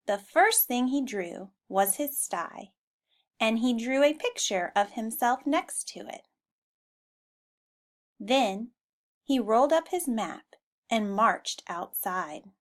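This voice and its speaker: A woman reading a children's storybook aloud in an expressive style. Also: on the high side. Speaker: storybook reader.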